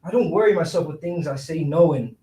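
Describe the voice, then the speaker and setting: A man's voice: fairly high pitch, fairly quick, dark tone, close to an everyday voice. A man playing a cocky, carefree young hustler in a staged reading, slangy and breezy. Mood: confident, impatient. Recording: echoey, distant, faint noise.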